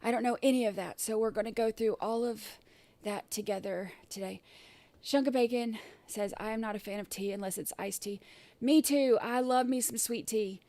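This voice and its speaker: A woman hosts a casual livestream, her voice gravelly and scratchy from an irritated throat. She sits a bit high in pitch and keeps clearing her throat. She rambles with fillers and restarts, and the sound is dry and close. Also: steady tone, moderate pace, dark tone, no room sound. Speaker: livestream host.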